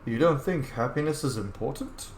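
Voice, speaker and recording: A man's voice: medium pitch, lively intonation, normal speed, echoey room, a little hiss or hum. A man hosting a video game roleplay, reacting expressively and speaking dialogue choices aloud. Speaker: gaming video host, roleplayer.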